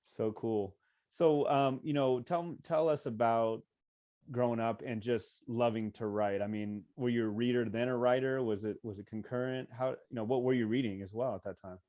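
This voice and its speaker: An American man hosting a casual podcast interview. He asks questions in an informal, encouraging way, with a medium-pitched voice. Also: fairly even intonation, normal speed, warm tone. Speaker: podcast host.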